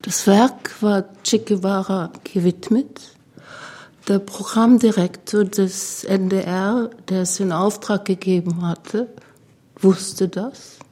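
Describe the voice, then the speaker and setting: A woman's voice: medium pitch, fairly even intonation, slow pace, dark tone, breathy edge. An older woman recalling events she witnessed, in the style of a personal interview. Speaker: interviewed eyewitness. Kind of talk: personal recollection.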